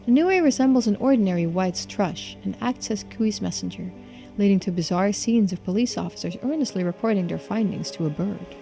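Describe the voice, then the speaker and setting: A woman's voice: medium pitch, gravelly. A woman game streamer reading game text aloud, with bits of casual commentary. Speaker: streamer playing and voicing a game.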